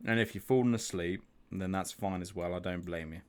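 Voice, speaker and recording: A man's voice: sits low, a little fast, darkish tone, clear, resonant tone. A man hosting a live trading stream, chatting through chart analysis, thinking aloud with restarts and asking viewers for their views. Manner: chatty, thinks aloud, repeats words, asks viewers questions. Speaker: trading group host.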